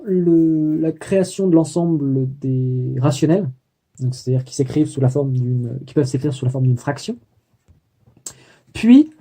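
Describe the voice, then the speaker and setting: A young man recaps his day for a video diary, his voice very dark and mellow and sitting a touch high. He talks hesitantly, filling pauses and correcting himself as he goes. The delivery stays casual and personal. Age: young adult. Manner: hesitant, many fillers and self-corrections. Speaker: student vlogger.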